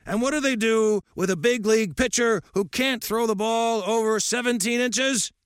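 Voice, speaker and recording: A man voicing a gruff, fiery old baseball coach who barks and bellows at a crowd. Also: extremely high pitch, moderate pace, dry recording, clean studio sound, pitched above the performer's own voice. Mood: barking. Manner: barking, bellowing.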